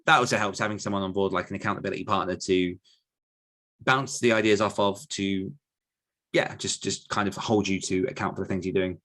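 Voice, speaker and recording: A man's voice: low voice, steady tone, a little fast, fairly bright tone. A man answering questions as a guest coach in a casual, reflective podcast conversation.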